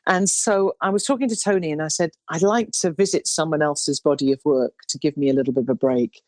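A woman in her sixties with an unusually low, baritone voice, talking in a loose, meandering way as she recalls her career. The sound is dry and close, with no room around it. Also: fairly quick, dry recording. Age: 60s. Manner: rambling, warm storytelling with restarts. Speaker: singer. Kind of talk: relaxed interview chat.

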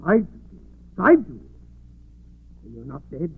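A guilty murderer cornered in an old radio mystery. His fairly high voice swings widely in agitated melodrama. The recording is thin and boxed-in. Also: huge pitch swings, slow, creaky, breathy edge, no room sound, exaggerated voice. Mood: desperate. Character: guilty, cornered murderer, panicky and then desperate. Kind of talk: 1940s radio acting. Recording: muffled narrow-band 1940s radio recording.

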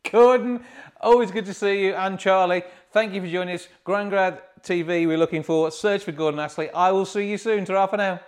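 Friendly male podcast host, slightly high. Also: fairly quick. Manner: friendly and encouraging, brief replies. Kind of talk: casual interview.

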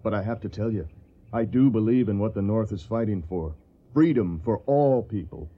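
An actor playing a gentle, idealistic young Yankee soldier in a radio drama, soft-spoken and earnest. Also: male voice, lowish voice, moderate pace, natural voice. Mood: earnest, troubled. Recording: muffled radio recording, background noise.

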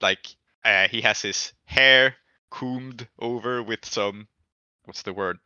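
A man running a tabletop game as game master, improvising narration in casual, halting speech as he thinks aloud. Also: lowish voice, bright, forceful tone, clear, resonant tone. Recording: echoey room, muffled dull audio.

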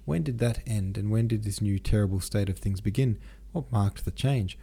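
Man reading as anguished young count, dark mellow voice. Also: fairly low pitch, normal speed, creaky, slightly rough. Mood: anguished, bewildered. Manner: anguished, questioning inner monologue. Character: distraught young Russian count losing at cards. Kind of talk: audiobook character reading.

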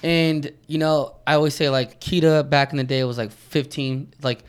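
A man's voice: on the high side, normal speed, smooth. Guy in his 30s, dance studio owner, laid back chat, lots of like and you know. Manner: relaxed, filler-heavy talk. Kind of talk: casual chat.

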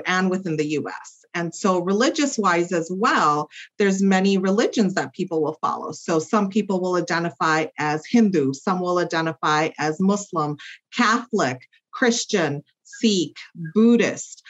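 A woman clinical psychologist explaining as a podcast guest, with many fillers and tag questions. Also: fairly low pitch. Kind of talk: podcast interview. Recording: muffled lo-fi audio.

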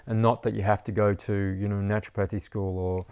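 Gravelly man, low and rambling. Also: level tone, brisk, a little mellow, dry recording, studio quality. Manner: rambling, repeats words, says "you know" often. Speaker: herbalist teacher. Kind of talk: casual interview chat.

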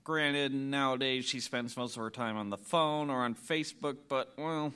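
A man's voice: medium pitch, steady tone, moderate pace, sharp tone, smooth, close to an everyday voice. A man playing an easygoing, affectionate dad and dog owner in audio theater, reassuring and playful. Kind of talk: comic audio theater acting.

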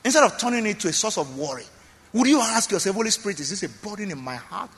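A man's voice: high voice, very animated, fast talker, a bit bright, long reverb tail. A man preaching a sermon as pastor in a church, emphatic, often repeating phrases and asking the congregation questions.

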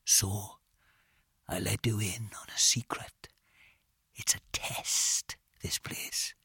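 Casting: male reader as taunting British hard lad, husky, menacing, drops into whispers, storybook villain. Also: medium pitch, animated, measured pace, dry recording, clean studio sound, full character voice. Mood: hushed, menacing. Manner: sinister, teasing, lingering, often dropping to a whisper.

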